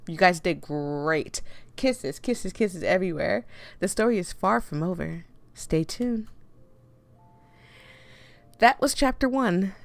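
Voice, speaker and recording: A woman hosting a game playthrough with casual, expressive and playful commentary. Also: mid-range voice, creaky. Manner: playful, chatty, expressive reactions. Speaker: host playing a game for viewers.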